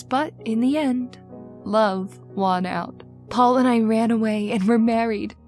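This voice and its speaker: An actress playing a devoted young married daughter in an old-time radio horror drama, with an earnest, melodramatic delivery. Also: female voice, mid-range voice, darkish tone, close to an everyday voice.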